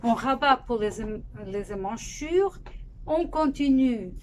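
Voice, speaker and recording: Portuguese-accented woman hosting a knitting podcast, slow and easygoing, with frequent restarts. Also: a little high, expressive, darkish tone, a little hiss or hum. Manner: unhurried, with frequent pauses and restarts. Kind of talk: casual spoken podcast monologue.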